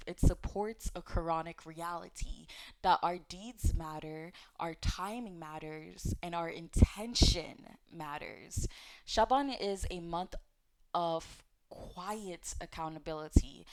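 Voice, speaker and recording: A woman with a slightly low voice gives an informal faith lesson straight to her viewers. She talks casually and encouragingly, pausing on fillers and correcting herself as she goes. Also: rough recording. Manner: conversational, with fillers and self-corrections; gently encouraging, speaks directly to viewers. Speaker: host of an Islamic teaching channel. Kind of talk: casual religious teaching talk.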